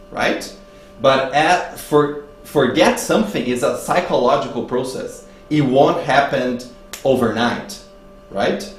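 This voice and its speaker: Halting lower-voiced man in an echoey room. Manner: halting, searching for words. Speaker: speaker at a spiritist public meeting. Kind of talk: religious talk with storytelling. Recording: roomy echo, faint hum and noise.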